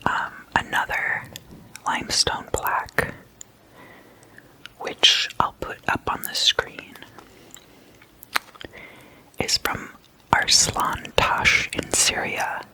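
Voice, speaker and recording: An ASMR host speaks in a breathy, unvoiced whisper with a crisp, bright edge. The delivery is very slow and hushed, yet informal and playfully chatty. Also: low-quality recording. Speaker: ASMR host explaining demon folklore.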